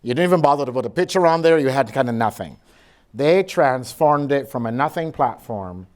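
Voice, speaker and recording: Barbadian man, middle-aged, blunt and sassy keynote speaker, mid-pitched voice. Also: darkish tone. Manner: blunt, sassy, joking asides. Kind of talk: lively conference keynote with audience interaction.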